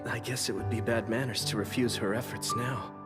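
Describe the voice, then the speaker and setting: A man's voice: mid-range voice, steady tone, brisk, music in the background, close to an everyday voice. A man voicing a polite, composed teenage boy official in an English anime dub, with formal, earnest speech. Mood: polite, subdued.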